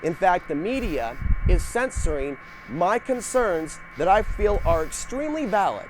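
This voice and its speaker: A man's voice: high voice, sing-song, measured pace. An American man hosting a TV show with earnest, emphatic political commentary and rhetorical questions. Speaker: public-access TV show host. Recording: noisy archive TV show audio.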